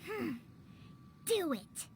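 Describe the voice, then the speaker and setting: A woman voicing a tiny, chirpy, curious childlike fairy companion in a video game cutscene, with a squeaky voice. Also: big pitch swings, very slow, full character voice. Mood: curious, eager. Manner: speaks of self in third person.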